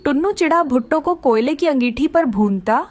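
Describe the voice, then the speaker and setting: A woman's voice: high-pitched, animated, brisk, no room sound. A woman giving scripted narration for a children's cartoon story.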